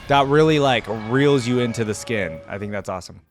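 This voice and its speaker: A man's voice: medium pitch, a little fast, over music. A man hosting a reaction video, giving casual, conversational game commentary and thinking aloud as he goes.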